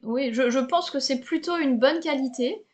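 A French woman in middle age hosts a casual interview podcast. Her voice sits a little high. She often hesitates, restarts and fills pauses. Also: a little mellow, slight background noise. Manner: hesitant, many fillers and restarts. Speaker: podcast host.

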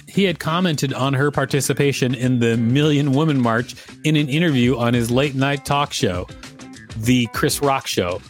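A man hosting a podcast, reading an article aloud and adding casual commentary. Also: mid-range voice. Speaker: podcast host.

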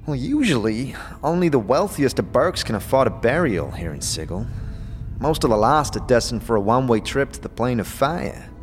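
A man's voice: mid-range voice, sing-song, normal speed, soft background music, close to an everyday voice. A man voicing a chatty, irreverent, streetwise sidekick in an audio drama, with slangy, hesitant talk. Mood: explanatory.

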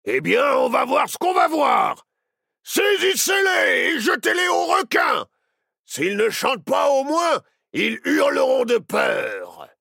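A man voicing a menacing, bad-tempered ghostly pirate captain in a children's story, raspy and creaky, barking orders and threats. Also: medium pitch, huge pitch swings, slow, bright, forceful tone, no echo, studio quality, voice pushed up, heavily acted. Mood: furious. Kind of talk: theatrical children's story villain.

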